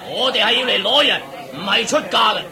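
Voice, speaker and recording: An actor playing a stern prince's retainer in a radio play, curt and commanding. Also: male voice, high-pitched, a little fast. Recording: market crowd sound effects behind. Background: crowd murmur.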